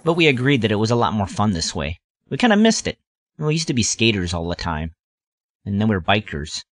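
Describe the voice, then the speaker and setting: A man reading scripted narration in a measured storytelling manner. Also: lowish voice, very animated, no echo, studio quality. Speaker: narrator and writer. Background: music bed.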